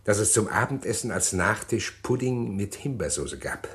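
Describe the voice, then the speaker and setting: An actor playing a middle-aged man who calmly confesses a murder plot in an old radio play, measured and hesitant. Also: on the low side. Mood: calm confessing. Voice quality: measured, deliberate, hesitant with pauses. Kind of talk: 1950s radio drama acting.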